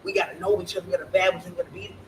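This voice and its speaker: A woman in a casual group discussion with a deep, aggressive-sounding voice, talking bluntly and insistently with plenty of swearing. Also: level tone, rapid-fire. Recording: distant mic with clear background noise.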